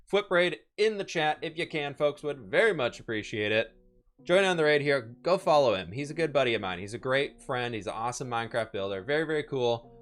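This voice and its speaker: Chatty streamer, a bit hoarse. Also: male voice, mid-range voice, expressive, brisk, fairly bright tone, faint music behind. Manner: upbeat, chatty, reads and answers chat. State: a little hoarse. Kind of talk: casual live-stream chat while building.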